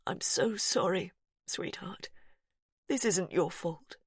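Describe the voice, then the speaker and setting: A woman reading an audiobook, voicing a distressed young man who tries to stay calm and reason gently. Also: fairly low pitch, moderate pace, dry recording, clean studio sound. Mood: tender.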